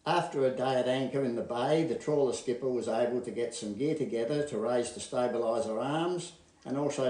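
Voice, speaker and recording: A man's voice: medium pitch, warm tone. An Australian man reading a scripted rescue report as a coast guard narrator. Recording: clearly noisy voice-over track.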